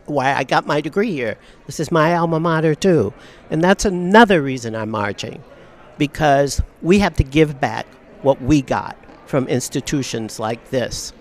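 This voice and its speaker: Older woman, professor type, earnest and measured, repeats key phrases, recorded outdoors. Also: deep voice. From Southern California. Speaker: professor interviewed on a strike. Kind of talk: outdoor news interview. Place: outdoors on a university campus.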